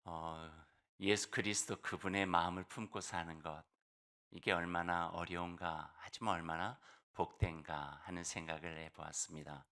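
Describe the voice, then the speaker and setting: A male pastor preaching a sermon in conversational style, hoarse from a cold, with fillers and slow, emphatic phrases. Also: deep voice, little pitch movement, bright, forceful tone.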